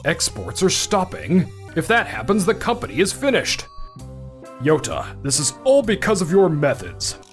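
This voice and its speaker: A man's voice: fairly high pitch, huge pitch swings, moderate pace, faint music behind. A man playing an old-fashioned, reproachful middle-aged company founder and father in a manga dub, with dramatic delivery. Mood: alarmed, accusing.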